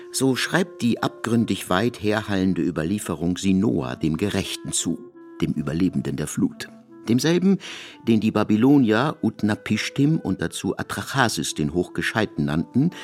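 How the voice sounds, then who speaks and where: A man with a fairly low voice reads literary prose as a radio narrator. His intonation moves widely and expressively, with an elevated storytelling delivery. Faint music sits behind the studio recording. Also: darkish tone.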